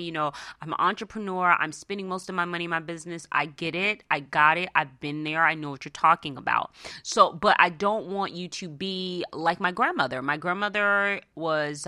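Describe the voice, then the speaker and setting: A woman's voice: lowish voice, sharp tone, no room sound, clean studio sound. An American woman hosting a radio show, talking conversationally and directly to her listeners in a motivational style, often checking in with them. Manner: conversational, addresses listeners directly with frequent "right?" and "okay?". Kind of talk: motivational personal finance talk to listeners.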